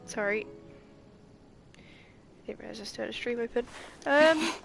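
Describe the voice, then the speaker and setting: A woman's voice: fairly high pitch, a little slow, creaky. A woman streamer commenting dryly and sarcastically on a game she is playing. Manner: dry sarcastic quips. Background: game music.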